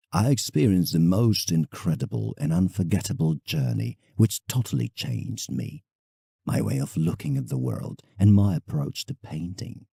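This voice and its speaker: A man acts an old painter reminiscing in an audio drama letter. His low voice is husky and swings expressively, full of effusive rapture and thoughtful hesitation. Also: warm tone, no echo, studio quality, heavily acted. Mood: fond, reminiscing. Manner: theatrical, effusive, with hesitant musing pauses. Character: old male painter, reflective, enraptured, writing to a friend.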